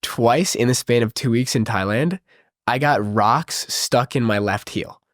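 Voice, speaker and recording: A man's voice: medium pitch, unhurried, no room sound. Casting: man, stuffy-nosed, earnest and upbeat, mid-range voice, close and dry. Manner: earnest, enthusiastic, reflective. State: stuffy nose. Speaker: podcast host. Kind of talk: casual spiritual conversation.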